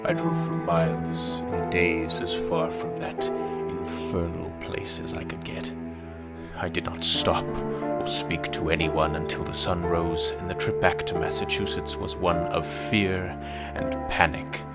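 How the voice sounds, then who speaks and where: A deep-voiced, scholarly professor from a 1920s horror audio play, acted by a man. He is grave and formal, choosing old-fashioned words with measured care. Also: little pitch movement, over loud music. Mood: haunted, solemn. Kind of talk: dramatic audio play acting and narration.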